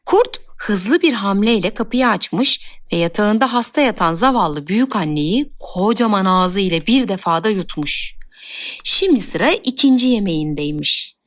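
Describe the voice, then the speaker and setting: A woman's voice: medium pitch, lively intonation, normal speed. A woman storyteller reading scripted fairy tale narration for children.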